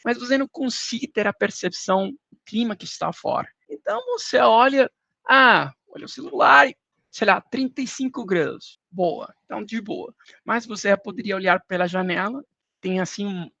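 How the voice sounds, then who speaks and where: A man's voice: sits high, lively intonation, normal speed, vocal fry, sharp tone, no room sound, highs cut off. A man from Colombia with a Colombian Spanish accent, a podcast guest explaining financial indexes in casual talk with everyday comparisons and some hesitant restarts.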